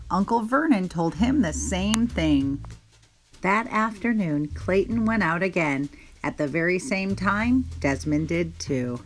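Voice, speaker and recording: A woman reading a children's picture book aloud in an expressive, gentle storytelling manner. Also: lowish voice.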